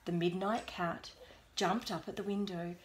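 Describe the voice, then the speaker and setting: Slow-reading woman in an echoey room. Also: fairly low pitch. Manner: expressive, deliberate, pausing to prompt the child. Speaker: parent reading aloud to a young child. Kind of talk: bedtime storybook reading with whispered asides. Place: small bedroom at home. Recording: roomy echo, background noise, clicks.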